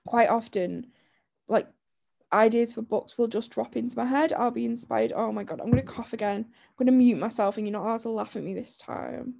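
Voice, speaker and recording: Croaky, gravelly woman podcaster. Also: on the high side, mellow. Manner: chatty, laughs often, restarts sentences. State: croaky voice after illness. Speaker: podcast co-host. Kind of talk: casual chat.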